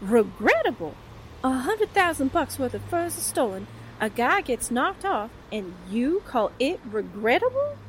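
Actress as a high, brassy wisecracking gal. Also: female voice, sing-song, unhurried, a little hiss or hum, poor audio. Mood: sarcastic, incredulous. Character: wisecracking, incredulous young female newspaper assistant. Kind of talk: 1940s radio drama acting.

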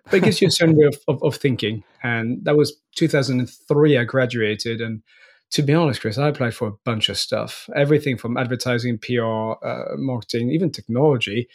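A man in his forties from France, with a mixed French and Northern Irish accent, chatting relaxed as an interview guest, often restarting and laughing lightly. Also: mid-range voice, brisk. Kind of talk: casual interview chat.

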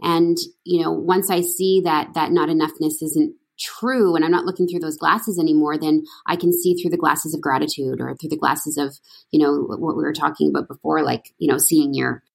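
A middle-aged woman from Canada chatting about her acting work, her voice a touch low and husky. She rambles through stories with lots of "you know" and "like" and restarts mid-sentence. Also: fairly even intonation, moderate pace. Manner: chatty, storytelling, many fillers and restarts. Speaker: actress guest. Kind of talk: casual interview chat.

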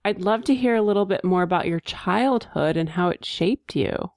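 A woman's voice: on the low side, lively intonation, normal speed, a little mellow. A woman co-hosting a design podcast who introduces the guest and asks him questions. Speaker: podcast co-host. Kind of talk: interview hosting.

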